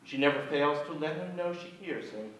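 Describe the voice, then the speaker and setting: An actor in his sixties playing a reflective son who recounts his troubled family in a measured literary stage reading. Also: fairly high pitch, expressive, fairly bright tone. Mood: somber, reflective. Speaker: actor reading a short story. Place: on a theater stage, indoors. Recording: very echoey theater, distant, noisy.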